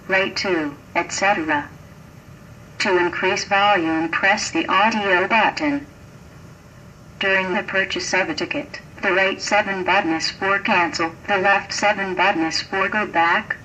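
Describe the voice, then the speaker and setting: A synthetic, robotic female voice giving formal, flat automated instructions as a ticket machine prompt. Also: medium pitch. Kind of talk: scripted automated audio instructions. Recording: noisy distant machine speaker.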